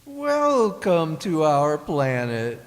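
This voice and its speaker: A man's voice: fairly high pitch, wildly swooping pitch, drawn-out words, heavily acted. An actor playing a fretful carrot father and government official in a comic radio play, formal and gloomy in manner. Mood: stately welcome. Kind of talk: comic science fiction radio drama acting. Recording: echoey hall with long reverb.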